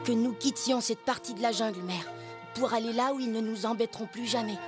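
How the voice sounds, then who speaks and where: A woman as an earnest high-voiced jungle child. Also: steady tone, fairly quick, over music, natural voice. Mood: earnest, hopeful. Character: earnest, hopeful human child raised by animals. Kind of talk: dubbed cartoon acting.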